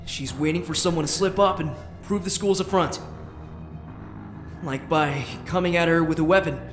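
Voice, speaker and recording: Man playing Oz, an anxious, high-voiced worrier. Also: roomy sound, lightly acted. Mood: anxious reasoning. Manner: muttering, halting, talks himself through it. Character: anxious, protective man named Oz, panicking to himself. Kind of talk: fandub voice acting, dramatic monologue. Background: music.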